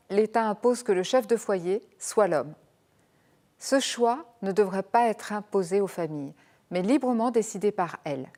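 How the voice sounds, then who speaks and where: A woman candidate making a measured, earnest campaign statement. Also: medium pitch, warm tone.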